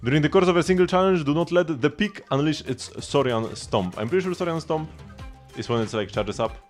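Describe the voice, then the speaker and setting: A man's voice: a little high, lively intonation, a little fast. A man giving casual live gameplay commentary as a streamer, rambling and thinking aloud. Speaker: game livestreamer. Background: game music.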